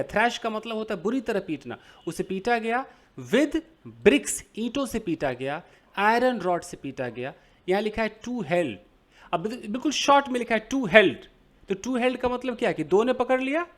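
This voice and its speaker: Lively Indian man teaching English. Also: sits high. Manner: reads English phrases slowly, explains in Hindi with many questions to viewers. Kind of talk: explaining a newspaper article to beginners.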